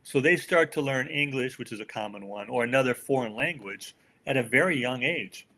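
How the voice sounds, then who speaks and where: Older American male interview guest, mid-pitched voice, folksy and emphatic delivery. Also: fairly quick, bright, forceful tone. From United States. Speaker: podcast guest, author. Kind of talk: conversational interview answers.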